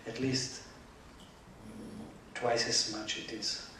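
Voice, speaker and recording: A man's voice: medium pitch, little pitch movement, slow pace. Man answering from far off in echo. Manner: hesitant, non-native phrasing with "uh" fillers. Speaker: conference speaker answering a question. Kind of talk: conference Q&A answer. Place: large lecture hall. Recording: echoey, distant hall audio with noise.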